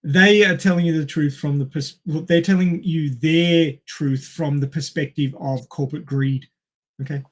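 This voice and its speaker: Higher-voiced man, halting but emphatic. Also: steady tone. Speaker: podcast host. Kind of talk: casual film discussion.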